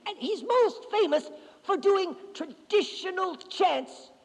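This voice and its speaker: A man voicing an excitable elderly man with a lilt, chattering eagerly in a high voice. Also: takes it slowly, vocal fry, big-room sound, exaggerated voice. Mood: proud.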